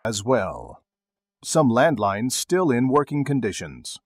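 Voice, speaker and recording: Radio newsman for audio drama, male actor. Rough, deliberate, bone-dry sound. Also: medium pitch, wildly swooping pitch, no echo, exaggerated voice. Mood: grave, urgent. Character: radio news reporter giving emergency updates.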